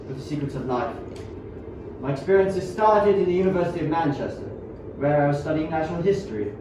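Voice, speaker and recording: A man's voice: on the high side, natural voice. A young man acting an earnest, obsessive 19th-century scientist in an amateur radio play, with dramatic, formal delivery. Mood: grave, foreboding. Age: young adult. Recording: very echoey, distant, dull, faint noise.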